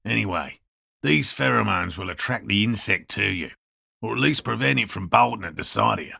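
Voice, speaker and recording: Game role: obsessive, courteous male field scientist, husky and crackling, lively pitch, fussy scholarly delivery. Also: a little fast, no room sound, clean studio sound, heavily acted. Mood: explaining, scholarly. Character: earnest eccentric male cryptozoologist scientist, obsessive but courteous. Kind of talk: video game dialogue voice lines.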